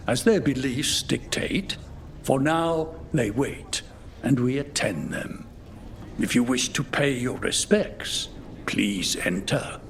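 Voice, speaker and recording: Slow, creaky, solemn keeper of the dead. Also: male voice, medium pitch, a little hiss or hum, full character voice. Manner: slow, grave, hushed. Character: solemn attendant watching over the battle dead.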